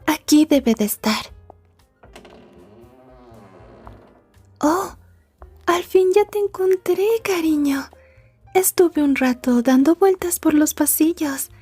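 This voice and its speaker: Woman doing a possessive yandere schoolgirl gf, high but mellow voice, soft n polite, asmr roleplay. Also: lively intonation, slow, faint music behind. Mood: sweet, relieved. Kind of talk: Spanish ASMR roleplay acting.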